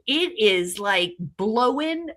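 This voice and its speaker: A woman's voice: on the high side, animated, takes it slowly, fairly bright tone. A woman in her sixties co-hosting a casual online chat, upbeat and motivational. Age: 60s. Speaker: co-host.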